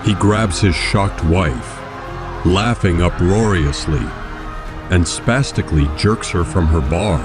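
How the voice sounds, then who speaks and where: A man's voice: sits low, slow. A man giving scripted narration for an audio drama. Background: music and crowd sounds.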